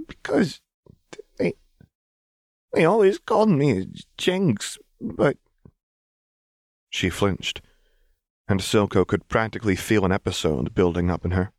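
Deep-voiced, slow male story narrator. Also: no room sound, clean studio sound. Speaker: audiobook narrator.

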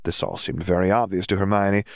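Male audiobook narrator, lowish voice, very dry close sound, scripted story read. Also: flat delivery, brisk, no echo.

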